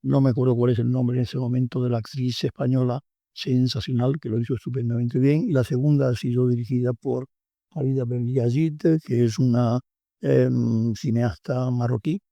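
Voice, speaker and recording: A man's voice: medium pitch, steady tone, moderate pace, gravelly, warm tone, no echo. An older man from Tangier with a Tangier Spanish accent, a book author speaking as a guest in a radio studio interview, reflective and hesitant with many fillers. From Tangier, Morocco.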